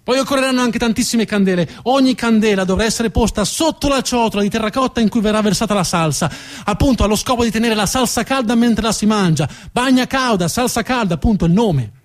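A man's voice: sits high, quick pace. A man narrating as a stage storyteller, dramatic in delivery and repeating words for emphasis.

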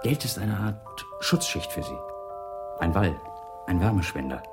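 Big earnest toy maker, forties, very low voice, explanatory, devoted, radio crime drama over clear music. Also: male voice, animated, a little slow. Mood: earnest, reflective. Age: 40s.

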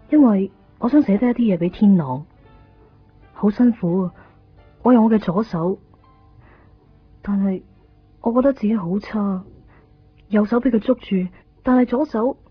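An actress playing a tender, sorrowful young woman in a Cantonese radio drama, speaking softly and intimately with a Hong Kong accent. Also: mid-range voice, close to an everyday voice. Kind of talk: Cantonese radio drama acting with inner monologue. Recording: dull lo-fi 1990s radio recording. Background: music.

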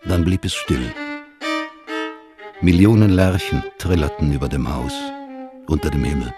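A man with a husky voice reading scripted literary narration in a measured storytelling manner. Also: extremely low pitch, animated, over music.

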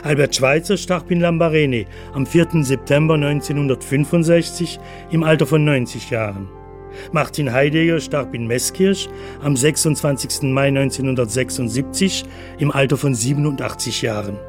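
A man's voice: medium pitch, normal speed. Sober male radio narrator over music. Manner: sober, factual. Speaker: narrator and announcer. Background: prominent guitar music.

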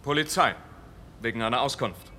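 An actor playing a methodical police inspector who calmly and patiently questions witnesses in a radio drama. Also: male voice, medium pitch, normal speed, slight background noise, close to an everyday voice.

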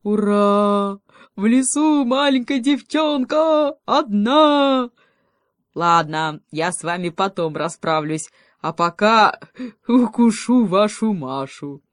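A woman voicing a boastful, bullying young male wolf cub in a children's story, loud and exclaiming. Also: on the low side, takes it slowly, no room sound, clean studio sound. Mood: gleeful menace.

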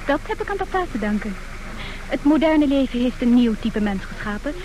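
A woman's voice: high voice, animated, a little fast, a little mellow, slight background noise, rough recording. An actress playing an earnest nineteen-year-old girl from a good family in a radio play, precise and matter-of-fact.

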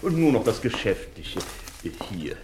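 An actor playing a fussy, obsequious insurance agent in a German radio crime play. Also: male voice, fairly low pitch, big pitch swings, unhurried, noisy background. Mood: fussy, deferential. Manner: fussy, deferential.

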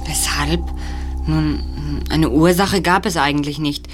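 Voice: medium pitch, fairly even intonation, takes it slowly, natural voice. Audio drama sidekick: actor as a reluctant young sleuth, uneasy, hesitant, plain-spoken, faint music underneath. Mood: uneasy, defensive.

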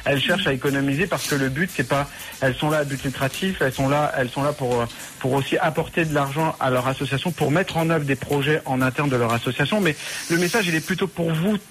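A man on a radio panel speaks earnestly to listeners. His voice sits slightly high, with a faint hiss underneath. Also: fast talker, fairly bright tone. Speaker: radio studio guest. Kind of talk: casual radio chat.

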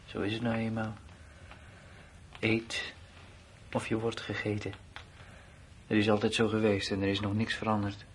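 A blunt, uneasy soldier acted by a man in a radio play. His voice sits fairly low and he talks in a plain, colloquial, matter-of-fact way. The old recording carries faint noise. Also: completely flat delivery, normal speed, long pauses, lightly acted. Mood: blunt, resigned. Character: blunt, uneasy male soldier left behind at his post. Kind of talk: Dutch radio drama acting.

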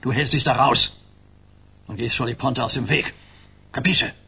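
A man's voice: medium pitch, normal speed, vocal fry, sharp tone, breathy edge, full character voice. An actor playing a domineering elderly mafia boss and father in a radio crime drama, curt and coarse-mouthed. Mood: stern, irritated. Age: older.

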